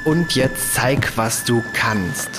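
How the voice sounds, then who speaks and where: Man as a harried, slow-talking, cursing fugitive. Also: mid-range voice, animated, over loud music. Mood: urgent, wry. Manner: exasperated, sarcastic, muttering to himself. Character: harried, cursing male fugitive who peppers in French. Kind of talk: sci-fi audio drama acting.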